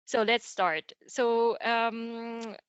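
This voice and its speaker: A woman's voice: a little high, drawn-out words, smooth, dry recording, lo-fi sound. Chatty young woman, dry close sound. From Germany. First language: Teochew. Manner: lively, chatty, with many fillers and restarts. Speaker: podcast guest, data engineer. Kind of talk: casual interview talk.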